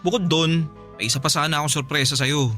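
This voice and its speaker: A man's voice: a little high, brisk, bright, forceful tone, faint music behind. A man acting a jobless, wheedling boyfriend in a radio drama, pleading and defensive. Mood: coaxing, earnest. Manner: pleading, coaxing. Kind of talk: radio drama acting in Filipino love story.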